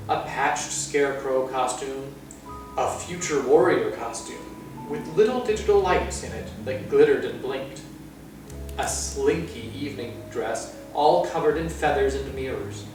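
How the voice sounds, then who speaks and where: A man reading a children's story aloud as narrator, with measured, expressive phrasing. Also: medium pitch. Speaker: storytime reader. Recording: echoey room, far from mic, music.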